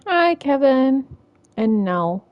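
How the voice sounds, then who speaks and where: A woman's voice: high voice, sing-song, takes it slowly, smooth, dry recording, muffled recording. A woman streaming a video game, casually commenting and dryly talking back to the characters.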